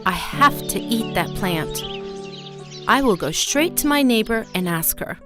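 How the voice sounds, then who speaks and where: A woman's voice: fairly high pitch, animated, measured pace, music in the background. An actress playing a young pregnant mother in a fairy tale cartoon, eager, demanding and theatrical. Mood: eager craving.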